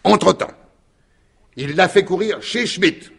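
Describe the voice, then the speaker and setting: A man's voice: high voice, takes it slowly, gravelly, breathy edge, exaggerated voice. An actor playing a shrewd, insistent detective in a French radio mystery in 1950s style, explaining his theory with emphatic deliberation. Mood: triumphant, accusing.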